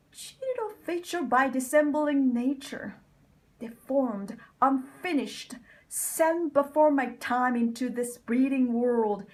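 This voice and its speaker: A woman giving a deliberate, theatrical recitation of a Shakespeare soliloquy as a bitter, scheming nobleman, with phrases falling into breathy whispers. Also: high voice. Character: bitter, scheming deformed nobleman plotting villainy. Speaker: amateur Shakespeare reader.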